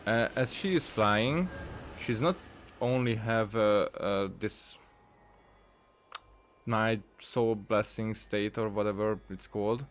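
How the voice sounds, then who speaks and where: A man giving casual gameplay commentary, rambling and thinking aloud with halting phrases. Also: on the low side, a little hiss or hum. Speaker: gaming streamer. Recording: game music behind the voice. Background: video game music.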